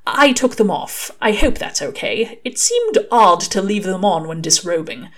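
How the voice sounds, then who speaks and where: A woman's voice: medium pitch, big pitch swings, measured pace, fairly bright tone. A woman voicing a prim, earnest male angel in an audiobook, with formal, fussy and hesitant phrasing. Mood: fussy, curious. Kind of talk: audiobook character dialogue.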